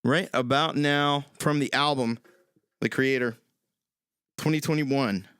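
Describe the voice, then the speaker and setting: A man hosting his own live stream, chatting quickly and casually with viewers, his voice worn out from singing. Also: fairly even intonation, fairly bright tone, smooth, dry recording, studio quality. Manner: quick, low-key, lots of ums and uhs. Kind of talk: casual chat with viewers between songs.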